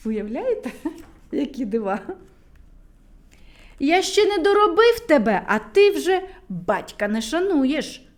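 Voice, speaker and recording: A woman reading a children's fairy tale aloud, animated and speaking directly to the children listening. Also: fairly high pitch. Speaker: storyteller.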